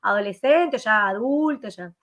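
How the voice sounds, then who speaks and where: A woman's voice: on the high side, expressive, unhurried, fairly bright tone. A woman hosting a casual interview over a video call, laughing often and asking rambling questions. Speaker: host.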